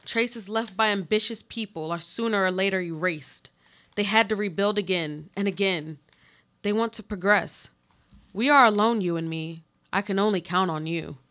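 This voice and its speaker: A woman's voice: medium pitch, normal speed, a bit bright, close to an everyday voice. A woman playing another woman's desperate, insistent double in a live radio play, with solemn, literary delivery. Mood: weary, pleading.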